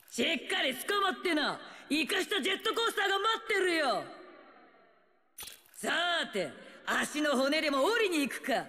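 A bloodthirsty old female warlord for a video game. Her voice is high, bright and forceful, swooping widely as she taunts. She snarls in rough slang, brash, mocking and gleeful in her menace. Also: exaggerated voice. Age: older. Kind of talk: video game character voice lines.

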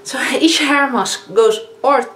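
A woman in her fifties with a Dutch accent, chatting casually as a beauty vlogger reviewing products, often pausing on fillers and correcting herself. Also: high voice, lively intonation, a bit bright. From Netherlands. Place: living room, indoors. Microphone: camera in front of a seated speaker. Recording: echoey room with faint hiss.

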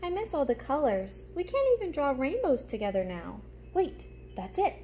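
A worried little storybook crayon, played by a woman reading aloud, speaks in a high, earnest voice. It exclaims plaintively, over some room noise. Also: sing-song, normal speed, dark tone. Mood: earnest, determined. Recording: clear background noise, room ambience.

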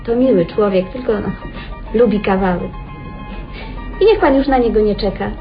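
A woman's voice: medium pitch, normal speed, lightly acted. A woman acting in a vintage radio play as a good-natured, naive waitress, talking in a casual, gossipy way. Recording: dull old radio recording with music.

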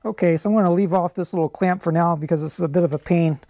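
A man's voice: a little high, quick pace. Man explaining a repair in his workshop. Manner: relaxed, explanatory, frequent "uh" and "um". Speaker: channel host. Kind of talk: how-to repair demonstration. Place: workshop garage, indoors.

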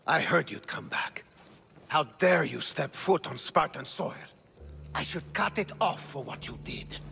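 A man's voice: on the high side, animated, measured pace, gravelly, sharp tone, full character voice. An actor playing a stern, hostile older king of Sparta in a video game, commanding and scornful. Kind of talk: dramatic video game acting. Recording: music behind dialogue.

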